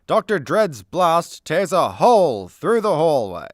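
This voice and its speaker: A man narrates a comedy radio play from a script. His delivery is animated and unhurried, in a fairly high voice recorded dry and close. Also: dry recording.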